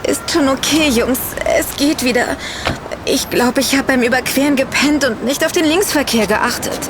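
A woman's voice: high voice, normal speed, background noise, lightly acted. An actor playing an impatient young woman who wants to enjoy her city trip. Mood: shaken. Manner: impatient, dismissive.

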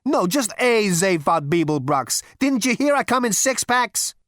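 A woman voicing a brash, swaggering man who demands things loudly. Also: medium pitch, big pitch swings, unhurried, no echo, studio quality, pitched above the performer's own voice. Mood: cocky. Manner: loud, pushy, cocky. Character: brash, swaggering hipster male space adventurer.

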